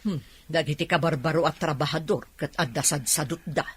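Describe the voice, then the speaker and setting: A man playing a grudging, contrary factory colleague in an Ilocano radio drama, with a husky voice. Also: on the high side, a little fast, fairly bright tone. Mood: disapproving. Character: grudging, sceptical factory colleague who argues back.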